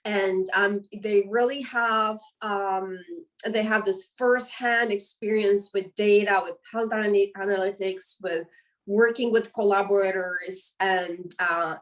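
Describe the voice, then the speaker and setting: A woman's voice: medium pitch, fairly even intonation, measured pace, a bit bright, distant mic. A woman in her forties from Romania with a Romanian accent, answering questions in a webinar interview with a hesitant delivery full of ums and restarts. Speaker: professor and guest.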